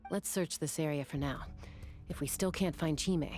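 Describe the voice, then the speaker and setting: A woman's voice: sits low, moderate pace, darkish tone, soft background music, close to an everyday voice. An actress voicing a serious, composed young woman adventurer in a video game. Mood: composed, focused.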